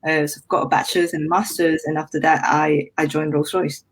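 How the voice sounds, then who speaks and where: A woman's voice: low voice, level tone, a little fast. A young woman from Malaysia, a guest engineer in a podcast interview, explaining things in a friendly way with many "um"s and repeated words. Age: young adult.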